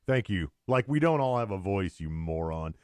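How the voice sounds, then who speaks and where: A lower-pitched man with a lively, rising and falling delivery hosts a casual podcast, cracking sarcastic jokes and spinning animated stories. His voice sounds close and dry, with no room echo around it. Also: normal speed, clean tone. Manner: joking, sarcastic, animated storytelling. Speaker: podcast co-host.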